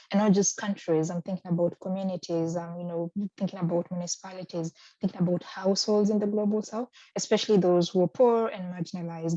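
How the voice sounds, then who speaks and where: A woman's voice: medium pitch, darkish tone, no echo, dull audio. A woman from Kenya in her thirties, a research fellow answering interview questions thoughtfully, with frequent hesitations and restarts. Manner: hesitant, many ums and restarts.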